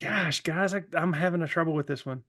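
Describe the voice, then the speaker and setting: A man co-hosting a podcast in casual, joking chat, halting as he thinks his way through aloud. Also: on the high side, a bit bright. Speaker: podcast co-host.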